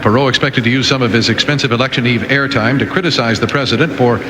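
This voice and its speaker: A man's voice: medium pitch, quick pace. A man reading news headlines on a morning radio show, where he also serves as sidekick. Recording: roomy, noisy old radio broadcast.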